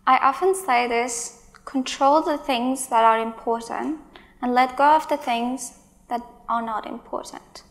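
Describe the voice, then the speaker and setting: A woman's voice: on the high side, a little slow. A woman in her thirties giving a conference talk on stage, emphatic, with exasperated asides. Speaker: conference speaker. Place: large stage in a hall.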